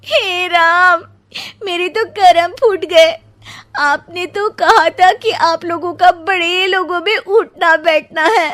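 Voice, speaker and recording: A woman's voice: extremely high pitch, slow pace, fairly bright tone, full character voice. A woman voicing an indignant young bride in a cartoon, questioning in a pointed, exasperated way. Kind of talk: cartoon dialogue, Hindi moral story.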